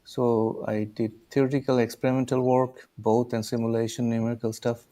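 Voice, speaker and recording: Mellow-voiced man, hesitant founder. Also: mid-range voice, flat delivery, brisk. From Bangladesh. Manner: hesitant, restarts phrases, many "uh"s. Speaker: startup founder and guest. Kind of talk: interview answers. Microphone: video call.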